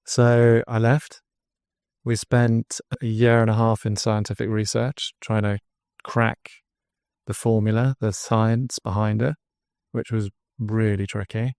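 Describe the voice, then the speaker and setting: An English man, guest on a podcast interview, telling his story as a drinks brand founder in an earnest pitch. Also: level tone, slow, darkish tone, clean tone, dry recording, studio quality. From England.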